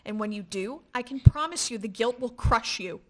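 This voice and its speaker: A woman's voice: on the high side, moderate pace, natural voice. A woman acting a scornful, morally indignant friend, sarcastic and scolding, in a radio play. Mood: reproachful.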